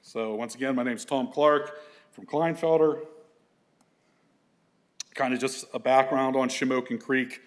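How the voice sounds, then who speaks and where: Big-voiced man giving a relaxed talk. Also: mid-range voice, unhurried, long pauses. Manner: loose, conversational, many fillers and restarts. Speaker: environmental consultant presenting to an audience. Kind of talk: conference slide presentation.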